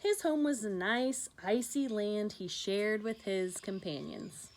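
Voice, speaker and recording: A woman's voice: mid-range voice, slow pace, a little hiss or hum. A woman teacher reading a picture book aloud to children, narrating expressively with conversational asides. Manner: animated narration with conversational asides to young listeners.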